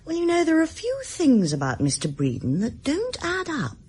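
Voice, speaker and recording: Sardonic Englishwoman, highly expressive. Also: normal speed. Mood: conspiratorial. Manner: dry, sardonic. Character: shrewd, cynical, witty female office worker. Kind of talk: radio drama acting.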